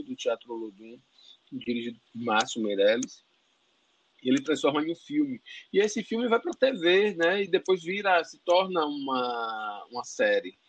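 A man with a Bahian Brazilian accent answers interview questions with fervor, his voice rising on the points he stresses. He keeps tagging his sentences with little questions and stumbles into restarts as his thoughts run ahead. The audio sounds a touch muffled. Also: a little high. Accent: Bahian Brazilian Portuguese. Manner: passionate, emphatic, frequent "né" tags and restarts. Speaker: interview guest. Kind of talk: conversational interview.

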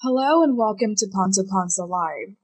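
A woman's voice: medium pitch, animated, moderate pace, a little mellow, slightly rough, no echo. A woman reading a formal scripted welcome for a podcast. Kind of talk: scripted narration.

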